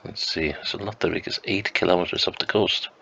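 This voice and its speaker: A man with a flu, sounding croaky and sniffly, thinking aloud slowly and hesitantly as he plays a map game at home. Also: deep voice, steady tone, bright, forceful tone, highs cut off, slight background noise. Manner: slow, musing, hesitant with many ums. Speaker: game streamer. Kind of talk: thinking-aloud gameplay commentary.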